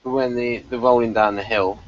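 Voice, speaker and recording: A man's voice: mid-range voice, fairly even intonation, a little fast, no echo, lo-fi sound. A man chatting casually as he co-hosts a review, with a wry, nitpicking, hesitant manner. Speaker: podcast co-host reviewing a TV episode.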